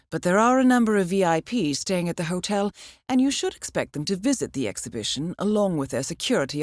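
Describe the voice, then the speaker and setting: A composed female intelligence handler gives game mission briefings in a lowish voice. Her delivery is precise and understated with dry wit, yet rises and falls expressively. The sound is close and dry, like a studio recording. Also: no room sound. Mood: composed, businesslike. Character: poised, cool-headed female spy handler, professional and dryly witty. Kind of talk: scripted video game briefing voice-over.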